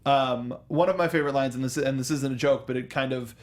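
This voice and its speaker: A man's voice: mid-range voice, fast. A man hosting a casual film review discussion, often rephrasing himself and joking as he goes. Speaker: talk show host.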